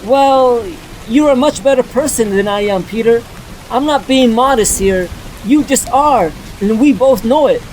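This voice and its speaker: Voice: mid-range voice, a little slow, a little mellow, slight background noise, natural voice, rough recording. A performer voicing a blunt, candid young woman who is a reformed cat burglar, in a fan dub of a motion comic. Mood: sincere.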